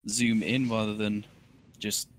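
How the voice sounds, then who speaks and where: A man's voice: on the low side, flat delivery, slow, a little hiss or hum. A man giving casual, mocking, sweary commentary over video game cutscenes.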